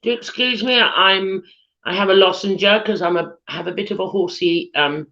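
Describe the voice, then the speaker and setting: Husky-voiced British woman hosting a chat. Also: mid-range voice, moderate pace, pushed and bright. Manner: chatty, giggly, many ums. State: husky, losing her voice. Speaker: chat show host. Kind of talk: casual live chat show hosting. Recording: dull lo-fi video call audio.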